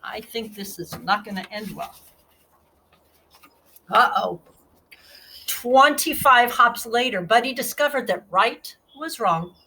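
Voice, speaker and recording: Animated, unhurried woman reading a storybook. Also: on the high side, slight background noise, low-quality recording. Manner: addresses young listeners with asides. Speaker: storybook reader. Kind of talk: expressive children's read-aloud narration.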